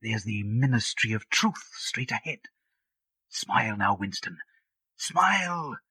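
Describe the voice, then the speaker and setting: A man playing the nagging, cynical inner voice of an anxious man in an old radio drama, husky and insistent. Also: on the low side, sing-song, takes it slowly, sharp tone, heavily acted. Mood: needling, warning. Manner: needling, warning, insistent. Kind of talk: 1950s radio drama acting.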